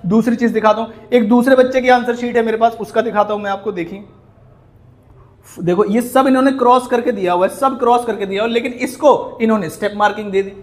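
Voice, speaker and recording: A man's voice: high-pitched, fairly even intonation, quick pace. An Indian man teaching in an explanatory commentary style, scolding and indignant, with emphatic rhetorical questions. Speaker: accounting teacher. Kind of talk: explanatory video commentary on exam answer sheets.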